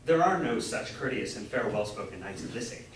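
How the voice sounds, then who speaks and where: A young man acting a grocer's apprentice who plays a grandiose, courtly knight-errant in a staged reading of a Jacobean comedy, declaiming in high-flown style. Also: lively intonation, fairly quick, natural voice. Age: young adult. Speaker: student actor. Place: indoor room, far from the mic. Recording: distant, very echoey room with faint noise.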